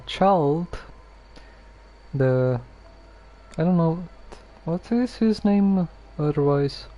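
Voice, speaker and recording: A man giving casual game commentary as a streamer, musing aloud in a halting way. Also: on the high side, mellow, lo-fi sound.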